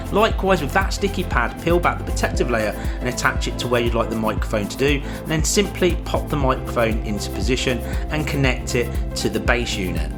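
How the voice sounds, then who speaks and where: A man giving a scripted step-by-step product installation guide, explaining clearly as a reviewer. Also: medium pitch. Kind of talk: scripted instructional narration. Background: background music.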